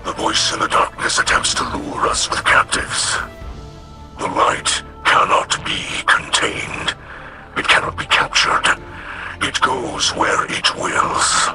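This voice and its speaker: An actor playing a grave, honorable alien warrior in a video game, speaking formally in a breathy, growling rasp. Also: male voice, slow, natural voice. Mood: grave, regretful. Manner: solemn, formal speech without contractions. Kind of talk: scripted video game dialogue. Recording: music behind the voice.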